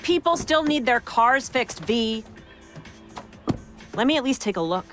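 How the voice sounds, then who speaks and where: A woman's voice: a little high, expressive, unhurried, over music. A woman playing an eager, argumentative mechanic in a game cutscene, pushy and pleading. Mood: eager, persuading. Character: eager, argumentative female mechanic keen on modern cars. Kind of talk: video game cutscene acting.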